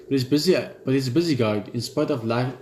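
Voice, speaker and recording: A man gaming, reading a horror visual novel's narration aloud in a steady read, dropping blunt side remarks. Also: mid-range voice, fast, roomy sound, slight background noise. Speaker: gamer.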